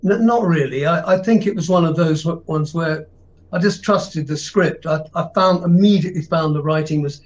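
A man speaking as an actor guest in a podcast interview, halting and searching for words. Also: on the high side, highs cut off.

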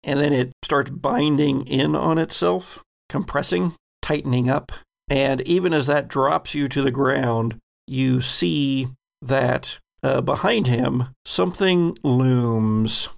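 An American man acting as game master, narrating a horror tabletop game in a suspenseful, deliberate way. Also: mid-range voice, gravelly.

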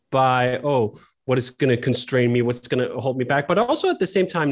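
Wry Danish-accented man, reflective. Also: medium pitch. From Denmark. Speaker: guest. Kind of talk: podcast interview. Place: room in Copenhagen.

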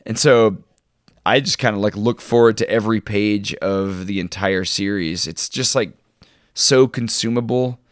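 A man co-hosting a podcast, chatting casually in an informal, halting way and sounding enthusiastic about his favorites. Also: lowish voice, smooth, dry recording, clean studio sound. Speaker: podcast co-host. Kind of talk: casual chat, recommending shows and books.

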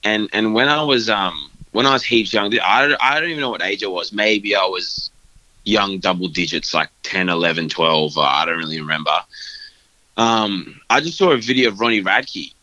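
Laid-back young man, bright voice. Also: lowish voice, normal speed, no echo, lo-fi sound. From Australia. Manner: loose and rambling, many fillers and "like". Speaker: guest band vocalist. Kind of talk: casual interview chat.

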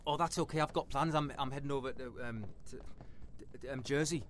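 A man's voice: mid-range voice, steady tone, fairly quick, slight background noise, lightly acted. An actor playing a nervous, over-polite man, hesitant and placating in a comic dialogue. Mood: anxious.

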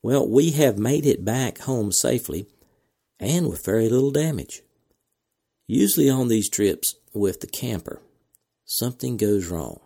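A man hosting a podcast, reading announcements in a measured, deliberate way with casual asides, his voice cracking from a slight cold. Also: mid-range voice, lively intonation, gravelly, mellow, breathy edge. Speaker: podcast host.

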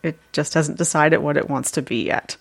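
A woman co-hosts a casual history podcast with a somewhat low, gravelly voice. She sounds sniffly and congested, chatting easily and laughing often. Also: steady tone, moderate pace. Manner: chatty, laughing easily, reading news aloud. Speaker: podcast co-host. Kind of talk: casual chat.

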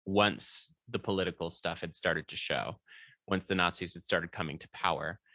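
Young male co-host, low animated voice, bright edge, playful. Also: dry recording. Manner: playful, quick quips, lively. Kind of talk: casual comedic chat.

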